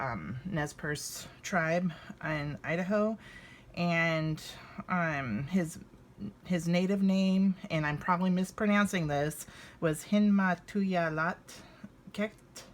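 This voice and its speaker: A woman's voice: low voice, slow pace, slight background noise. An American woman in her fifties doing an informal children's read-aloud, with hesitant, rambling asides. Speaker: story reader for children.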